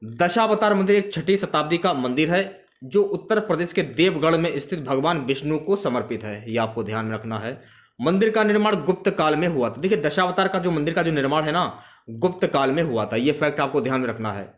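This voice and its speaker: Higher-pitched male exam coach. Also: expressive, fairly quick. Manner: repeats key facts for emphasis, addresses students directly. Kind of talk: instructional exam question review.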